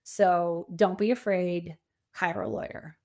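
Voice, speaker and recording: A woman in her fifties answering as an interview guest, talking conversationally in an animated, encouraging and emphatic way. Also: fairly low pitch, slow. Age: 50s. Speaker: podcast guest, coach and speaker.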